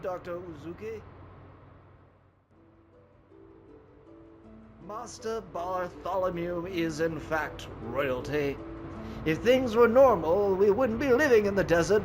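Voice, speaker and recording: A man's voice: sits high, animated, a little slow, soft background music. A man playing a courteous, dignified old butler with formal, deferential speech in an audio drama. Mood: solemn, explanatory.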